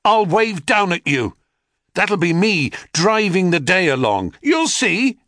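A man voicing a proud, sulky boy, the son of a god, who talks high and defiant. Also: very animated, slow, a bit bright, dry recording, clean studio sound, full character voice. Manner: high-pitched, boastful, defiant.

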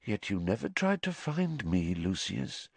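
A man voicing a soft-spoken, menacing dark lord. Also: lowish voice, sing-song, measured pace, vocal fry, no room sound, exaggerated voice. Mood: cold menace. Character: cold, cruel dark lord, adult male.

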